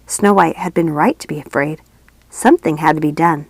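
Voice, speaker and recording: A woman's voice: deep voice, a little fast, no echo. A woman reading a fairy tale aloud as scripted storybook narration. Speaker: audiobook reader.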